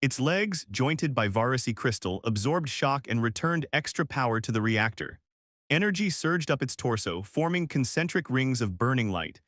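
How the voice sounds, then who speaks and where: A man reading a scripted science fiction war story as its narrator, in a measured, formal storytelling manner. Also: mid-range voice, animated, fairly bright tone, dry recording, clean studio sound.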